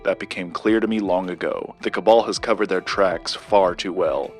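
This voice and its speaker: An actor playing an earnest, grumbling, bookish collector with a conspiracy obsession, in naturalistic audio drama dialogue, dry and precise. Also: male voice, low-pitched, moderate pace, soft background music, natural voice. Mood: earnest, measured. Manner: dry, skeptical, precise wording.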